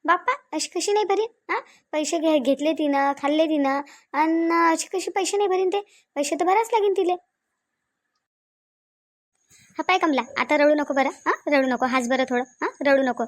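A woman's voice: sits high, fairly quick, natural voice. A woman playing a sensible, protective village sister-in-law with a rural Vidarbha Marathi accent, reasoning insistently and repeating herself in an amateur family drama. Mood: reassuring.

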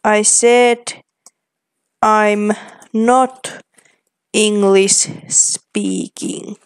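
Slow-speaking young woman. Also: medium pitch, steady tone, clear, resonant tone. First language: Finnish. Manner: slow, deliberate, reading typed replies aloud. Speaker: vlogger. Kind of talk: casual commentary reading chat aloud.

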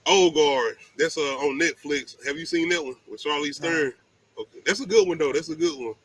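A man's voice: on the high side, fairly quick, a bit bright, slightly rough, poor audio. A middle-aged man, hosting a casual livestream chat in a playful, slangy way. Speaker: host of a movie talk livestream. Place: indoors, home livestream. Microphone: video call.

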